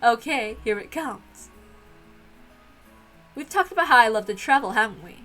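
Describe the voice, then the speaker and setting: A woman's voice: fairly high pitch, expressive, normal speed, fairly bright tone, soft background music. A woman voicing a cheerful, encouraging aunt in a visual novel read-aloud, playful and reassuring.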